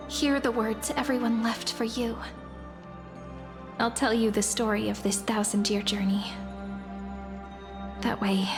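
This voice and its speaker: A woman voicing a gentle, wistful young storyteller in a game, speaking softly and deliberately. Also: on the high side. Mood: wistful, hushed. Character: gentle, wistful young female storyteller welcoming an old friend. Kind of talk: game voice acting.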